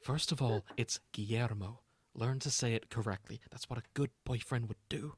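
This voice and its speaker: A man's voice: mid-range voice, moderate pace, husky, no room sound, lightly acted. A man voicing an indignant, scheming young male familiar in a melodramatic fan fiction reading. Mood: exasperated.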